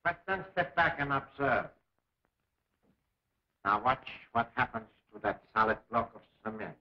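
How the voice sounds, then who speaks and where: A man's voice: mid-range voice, animated, unhurried, slight background noise, natural voice. A man playing a cold, commanding enemy scientist in a 1940s film serial, speaking formally and precisely. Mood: grandiose, menacing. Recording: dull lo-fi 1940s serial soundtrack.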